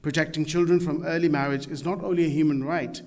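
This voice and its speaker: A man's voice: on the high side, fairly even intonation, fast, a little mellow. A man delivering a formal prepared speech at a conference, reading in a formal tone. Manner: formal, read from a prepared text. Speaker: official speaker. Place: hotel conference hall. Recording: large hall reverb, faint noise.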